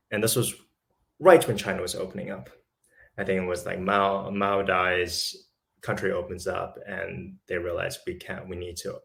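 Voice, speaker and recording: A man's voice: low-pitched, vocal fry, a bit bright. A man from South Africa, a podcast guest talking casually and thoughtfully, often repeating words as he finds his thought. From born in Lesotho, raised in South Africa. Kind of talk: casual conversation.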